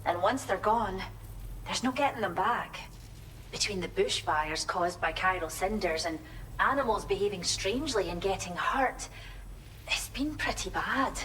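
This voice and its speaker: An actress playing a friendly, grateful wildlife sanctuary researcher in a video game, explaining things gently and colloquially. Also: female voice, medium pitch, lively intonation, measured pace, a little hiss or hum, low-quality recording. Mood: earnest explaining. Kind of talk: video game character dialogue.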